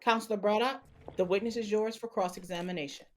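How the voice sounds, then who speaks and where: A woman's voice: mid-range voice, fairly even intonation, fast talker, lightly acted. Woman acting a stern courtroom judge. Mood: stern, formal. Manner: formal, authoritative, admonishing. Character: stern, authoritative female trial judge. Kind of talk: audio drama acting, courtroom rulings.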